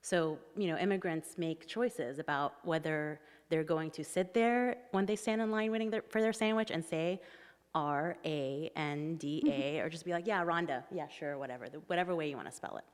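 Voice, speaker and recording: A woman's voice: low voice, moderate pace, smooth. A woman speaking candidly on an author panel, chatty and laughing often. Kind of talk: panel discussion. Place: stage of an event hall. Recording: reverberant hall.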